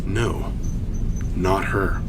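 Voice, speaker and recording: A man's voice: low-pitched, steady tone, very slow, noisy background. A man voicing a grave, wary male adventurer in a fantasy storybook, subdued and serious. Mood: shaken.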